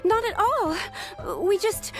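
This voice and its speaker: Very high-pitched overworked young secretary. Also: female voice, slow, music in the background, heavily acted. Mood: pleading, relieved. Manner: excitable, pleading. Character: overworked young female secretary, eager and pleading. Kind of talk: anime game voice acting.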